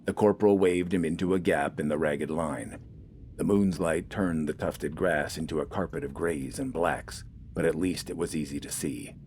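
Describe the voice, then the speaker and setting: A man's voice: rumbling low, moderate pace, a little mellow, slight background noise. A man reading scripted fiction as an audiobook narrator.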